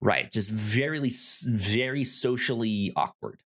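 Slow, halting man in casual discussion. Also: lowish voice, sing-song, fairly bright tone. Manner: halting, stammering, repeats words while thinking. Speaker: podcast co-host.

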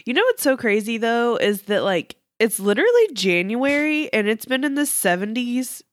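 A woman from Texas co-hosting a podcast, chatty and giggly, her voice a little deep from morning allergies. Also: big pitch swings, normal speed, no echo. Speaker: podcast co-host. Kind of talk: casual chat.

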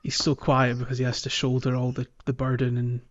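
A man's voice: medium pitch, completely flat delivery, a little fast, no echo, highs cut off. A man hosting a casual gaming podcast, speaking conversationally and putting questions to his co-hosts. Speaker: podcast host.

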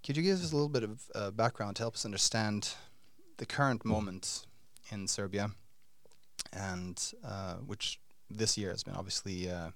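Man, podcast host, middle-aged, lowish voice, halting with fillers, dry close recording. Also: vocal fry, no room sound. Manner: halting, many fillers and restarts. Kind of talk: interview podcast, hesitant questions.

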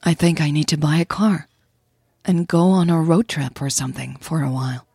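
A woman voicing a shy, easygoing woman in an audio romance, soft, husky and hesitant. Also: deep voice, normal speed, no echo, studio quality, pitched below the performer's own voice, heavily acted. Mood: casual, tentative. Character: shyly joking, easygoing woman in love, Emily.

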